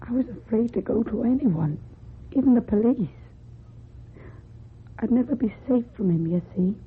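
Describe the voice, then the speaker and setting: A woman acting in a radio drama as a timid, guarded young runaway, speaking in a subdued, husky and breathy voice. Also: fairly high pitch, animated, normal speed. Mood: subdued, sincere. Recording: muffled, phone-like old radio recording.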